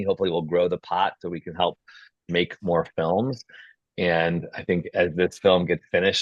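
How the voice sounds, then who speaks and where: A man with a very low voice hosts an online meetup. He talks informally and encouragingly, as to a group of peers. The sound is dry and somewhat dull, as on a video call. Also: dry recording. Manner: encouraging, informal, peppers talk with "like". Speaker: host of an online filmmakers' meetup.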